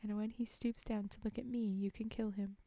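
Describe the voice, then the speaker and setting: A woman's voice: mid-range voice, level tone, fairly quick, mellow, no room sound, lightly acted. A woman reading aloud the part of a brave, loyal little dog in a fairy tale. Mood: eager, encouraging. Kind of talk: storybook read-aloud.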